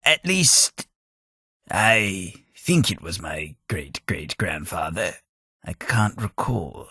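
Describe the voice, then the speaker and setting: An actor playing an eccentric, long-lived aristocrat who rambles through wry, theatrical stories in a husky voice, for a video game. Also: male voice, very animated, sharp tone, no echo, heavily acted. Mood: rambling. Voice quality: deep, husky, slow and theatrical. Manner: rambling storyteller, dry self-mocking wit. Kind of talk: game voice acting.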